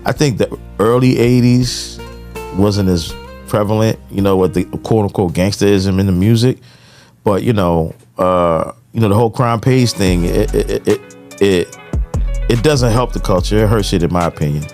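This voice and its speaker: A man's voice: on the low side, normal speed, darkish tone, faint music behind. An American man in casual podcast conversation, giving his opinions with frequent conversational tag phrases. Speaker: podcast co-host.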